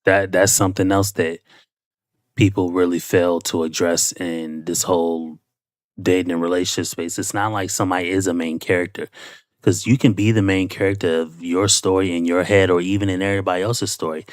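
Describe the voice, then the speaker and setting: Reaction host, male, very low voice, dry close sound, musing at length. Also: level tone, dry recording. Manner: reflective, conversational, drawn-out musing. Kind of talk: reaction commentary.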